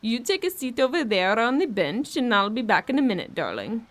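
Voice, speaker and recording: An actress plays a hard-edged Bulgarian woman in a horror audio drama. She is sardonic under a sugary, coaxing surface, and her voice sits fairly high. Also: sing-song, brisk. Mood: falsely sweet. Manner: coaxing, wry. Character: tough, sardonic Bulgarian woman minding a child, falsely sweet.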